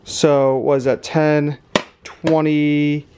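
Higher-pitched man, casual vlogging over background noise. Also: level tone, very slow. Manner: thinks aloud while tallying numbers. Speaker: reseller host of a thrifting channel. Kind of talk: casual vlog commentary. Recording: clear background noise, clicks.

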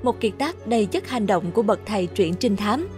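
A woman's voice: medium pitch, animated, brisk, a little mellow, faint music behind. A woman reading a scripted, dramatic introduction to a book as its announcer.

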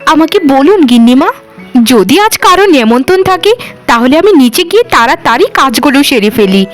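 High-pitched, pleading young housemaid, acted by a woman. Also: sing-song, a little fast, soft background music. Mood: amazed, curious. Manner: pleading, deferential, emotional. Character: poor orphaned young housemaid, humble, tearful and devoted. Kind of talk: cartoon voice acting.

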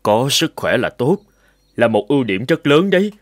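A man voicing a stingy, fussy old rich master in an animated folk tale, calculating and given to grumbling exclamations. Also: medium pitch, normal speed, no room sound, clean studio sound, lightly acted. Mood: appraising.

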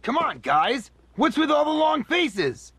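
A man playing an upbeat, goofy young hero in a video game, loud with enthusiasm. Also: extremely high pitch, sing-song, unhurried, dry recording, exaggerated voice. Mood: upbeat, rallying.

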